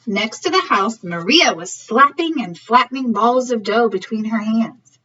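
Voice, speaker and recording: A woman's voice: on the high side, expressive, measured pace, bright, forceful tone, husky. A woman reading a story aloud for young children in a teacherly way, often checking in with questions. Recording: slightly muffled, distant mic.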